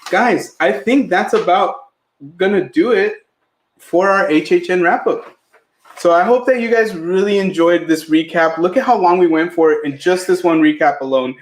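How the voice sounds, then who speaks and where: A man co-hosts a live podcast in a loose, rambling way, full of fillers and restarts. His family is Mexican and Spanish was his first language. His voice sits a little high, and it echoes in the room around him. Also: moderate pace. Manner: chatty, filler-heavy, restarts often. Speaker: podcast co-host.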